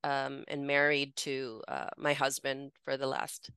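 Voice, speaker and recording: A woman's voice: extremely low pitch, flat delivery, a little slow, creaky, a bit bright, smooth, dry recording, studio quality. A woman in her forties from the Philippines, a podcast guest candidly telling her life story in a chatty way. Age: 40s. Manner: candid, chatty, many "like" fillers, laughs easily.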